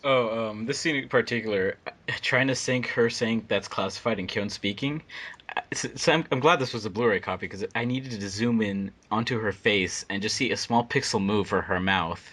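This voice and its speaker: A man's voice: on the low side, moderate pace, a bit bright. A man who edited a fan dub, chatting casually and joking about syncing mouths. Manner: laughs often, joking. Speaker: fandub video editor. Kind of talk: casual group commentary.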